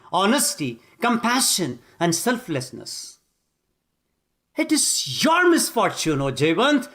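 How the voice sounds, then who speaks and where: A man's voice: high voice, voice pushed up, exaggerated voice. A man whose first language is Tamil, voicing a righteous warrior king who declaims in a commanding way. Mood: measured. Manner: declaiming, forceful.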